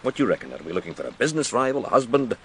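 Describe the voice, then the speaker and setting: An actor playing an impatient, pressured senior detective in a radio crime drama, brisk and wry in manner. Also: male voice, mid-range voice, big pitch swings, fairly bright tone, a little hiss or hum. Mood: brisk, authoritative. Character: impatient, pressured male senior police detective running an investigation. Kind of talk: naturalistic radio drama acting.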